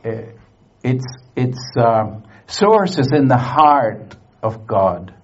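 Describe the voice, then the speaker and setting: A man's voice: medium pitch, sing-song, lo-fi sound. A man preaching in English in short phrases for an interpreter, with hesitant fillers and restarts. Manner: halting, many fillers and restarts. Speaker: guest preacher.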